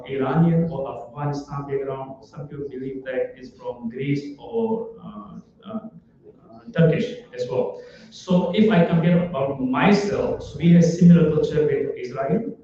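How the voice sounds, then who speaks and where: A man's voice: fairly high pitch, mellow. Hesitant Pashto-accented man in echoey hall. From Pakistan. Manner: hesitant, many uh fillers, broken English. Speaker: guest speaker. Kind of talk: nervous informal presentation. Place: large hall. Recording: echoey hall, distant, dull lo-fi.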